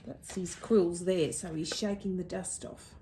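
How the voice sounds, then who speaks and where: A woman reading a picture book to young children, pausing to ask the listeners questions. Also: lowish voice, steady tone, gravelly, warm tone, a little hiss or hum. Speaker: storyteller.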